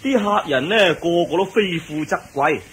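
An actor playing a cheerful, chatty young palace kitchen servant in a Cantonese radio drama, with a Hong Kong accent and drawn-out colloquial delivery. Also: male voice, fairly high pitch. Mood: chatty, explaining. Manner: animated, colloquial, drawn-out words. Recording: noisy old radio drama recording.

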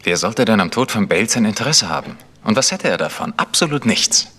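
An earnest guarded radio drama vicar, low sharp voice, formal. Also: male voice, expressive, brisk, breathy edge, exaggerated voice. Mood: defensive. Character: village vicar, male, earnest, guarded, defensive. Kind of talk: German radio crime drama acting. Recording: noisy recording with clicks.

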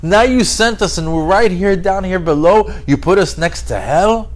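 A man voicing the lower waters of creation as a yearning, plaintive being appealing to heaven in a religious story. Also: animated, normal speed. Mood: pleading.

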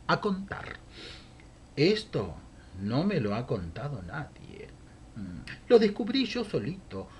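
A man's voice: high voice, breathy edge. A man in his sixties with a Rioplatense accent, reciting a humorous rhymed monologue at home into a webcam, theatrical and mock-gossipy with chuckling asides. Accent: Rioplatense Spanish. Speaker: monologue host. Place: home room, indoors. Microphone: webcam at desk.

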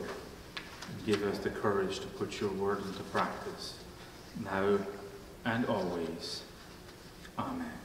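A middle-aged or older man, a pastor, delivering a sermon with measured, deliberate phrasing. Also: lowish voice. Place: church room at a wooden pulpit. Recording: echoey church hall, distant mic, faint noise.

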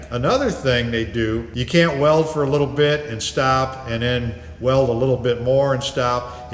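A man's voice: medium pitch, slight background noise. A middle-aged man teaching auto body welding in a garage workshop, explaining things in a plain, practical, folksy way. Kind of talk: hands-on welding demonstration. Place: garage workshop with red tool chests. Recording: roomy, echoey workshop.

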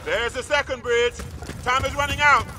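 An actor voicing a determined, authoritative army squad sergeant giving orders in a video game. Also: male voice, very high voice, steady tone, normal speed. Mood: urgent, commanding. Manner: authoritative, urgent. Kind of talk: video game character dialogue. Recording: noisy battlefield gunfire and vehicles. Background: gunfire, vehicles.

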